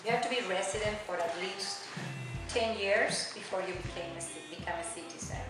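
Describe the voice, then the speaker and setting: A middle-aged woman with a strong Argentine Spanish accent chats loosely about her life, dropping plenty of "you know"s. Her voice sits at an ordinary pitch for a woman. The recording rings with heavy room echo, and clear music plays behind her. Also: a little fast. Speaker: immigrant interviewee. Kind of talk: casual oral history interview.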